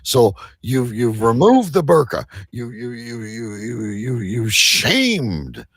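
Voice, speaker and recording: A man's voice: mid-range voice, big pitch swings, takes it slowly, fairly bright tone, husky, no room sound, studio quality. A man hosting a casual live talk show, teasing and prodding his guests with joking questions.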